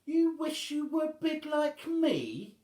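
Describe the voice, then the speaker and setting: A man voicing a huge, self-loathing but kindly female goblin monster from a children's storybook, with loud, drawn-out exclamations. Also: extremely high pitch, sing-song, roomy sound, voice pushed up, full character voice. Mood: shocked.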